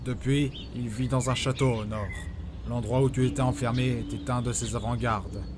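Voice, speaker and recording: A proud master sage of a fantasy audio drama, acted by a man. He speaks with measured authority and a medium male pitch. Clear background ambience sits behind the voice. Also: fairly even intonation, background noise, close to an everyday voice. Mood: solemn. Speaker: actor in amateur audio drama. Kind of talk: acted audio fiction dialogue.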